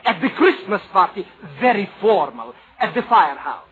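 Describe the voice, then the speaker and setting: An excitable uncle in a 1940s radio comedy. His high voice leaps up and down as he blurts out eager, flustered broken English. The old recording is muffled. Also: normal speed. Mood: excited. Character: excitable, warm-hearted foreign uncle, comic and eager.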